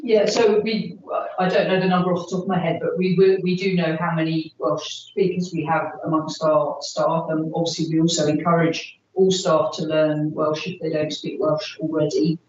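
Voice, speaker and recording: A woman's voice: low voice, little pitch movement, moderate pace, few pauses, darkish tone, a little hiss or hum. A woman answering questions at a formal meeting as a park authority officer, hesitant and full of fillers. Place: meeting room. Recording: roomy, distant, dull recording.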